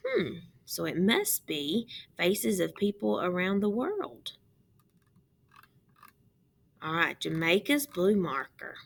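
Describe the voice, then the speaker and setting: Slow, bright-voiced woman reading to children. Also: fairly low pitch, very animated, creaky. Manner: instructive, addressing young children with questions. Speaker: teacher reading aloud. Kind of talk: children's read-aloud with explanatory asides.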